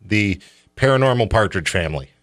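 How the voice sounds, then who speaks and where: A man's voice: lowish voice, animated, a little fast, fairly bright tone, no room sound, clean studio sound. A man hosting a radio show, chatting casually in a joking, teasing way. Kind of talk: casual chat.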